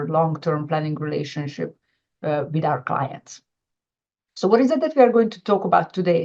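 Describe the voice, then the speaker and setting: A middle-aged woman with a Hungarian accent and a fairly low voice presents an informal webinar. She talks like an advisor walking clients through material, often restarting and rewording her sentences. The audio is somewhat dull and lo-fi. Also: darkish tone. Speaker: financial advisor hosting a webinar.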